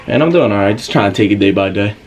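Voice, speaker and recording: A man's voice: lowish voice, animated, fast, heavy background noise. A man in his twenties making casual prank calls in a laid-back, slangy, mock-earnest way from a bedroom. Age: 20s. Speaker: YouTube prankster. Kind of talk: casual prank phone calling. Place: bedroom, indoors. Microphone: camera mic at about arm's length.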